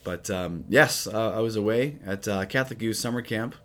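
A man with a very low voice, hoarse and raspy. He talks at an easy, rambling pace as a priest chatting on his podcast. His speech is full of casual fillers. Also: normal speed. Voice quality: low, husky. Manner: relaxed, rambling with many fillers. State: raspy, recovering voice. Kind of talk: casual chat.

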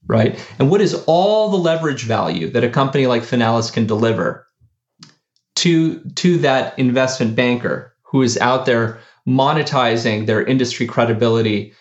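A man, a company founder, answering interview questions in a halting way with many fillers and restarts. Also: mid-range voice, roomy sound, muffled recording. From United States.

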